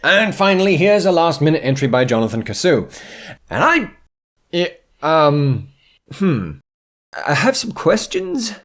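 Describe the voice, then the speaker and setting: A man's voice: fairly high pitch, huge pitch swings, a little slow, a bit bright, faint music behind. A middle-aged man narrating a showcase video as host, in a playful, jokey and theatrical scripted style. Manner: jokey, wry, theatrical phrasing. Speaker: host presenting viewer submissions.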